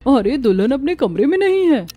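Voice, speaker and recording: A woman voicing a cruel middle-aged aunt in a Hindi cartoon story, with sharp, melodramatic delivery. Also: high voice, big pitch swings, normal speed. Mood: distraught wailing.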